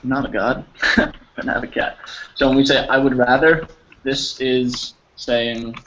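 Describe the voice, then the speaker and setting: A patient, encouraging man leads an online English lesson, walking through examples step by step. His pitch is mid-range and unremarkable. The call audio is a bit dull, with faint hiss behind him. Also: little pitch movement, unhurried, a bit bright. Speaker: English teacher. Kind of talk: online class teaching. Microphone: video call.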